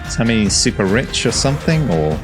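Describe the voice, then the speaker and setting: A man's voice: medium pitch, very animated, fairly quick, music in the background. A man giving casual, chatty, jokey reaction commentary on game trailers. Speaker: gaming reaction host.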